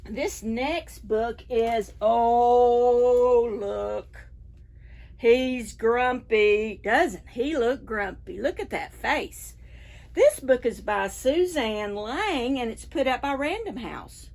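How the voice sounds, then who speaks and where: A woman reads picture books to small children as a library storytime host. Her voice sits fairly high and moves in wide, animated swoops. She takes her time and addresses the children with questions. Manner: expressive, unhurried, asking the children questions. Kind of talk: picture-book read-aloud for preschoolers.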